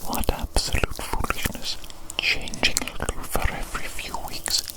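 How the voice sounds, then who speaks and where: A woman's voice: a little slow, close to an everyday voice. A woman voicing a pompous evil doctor who dotes on a patient in a whispered ASMR roleplay, theatrical and given to repeating phrases. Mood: scornful, indignant. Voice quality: breathy whisper. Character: pompous evil doctor doting on a big creature.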